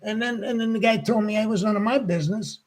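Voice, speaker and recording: Older male host, 60s, husky and slightly high voice, rambling halting storyteller. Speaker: show host. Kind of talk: casual chat.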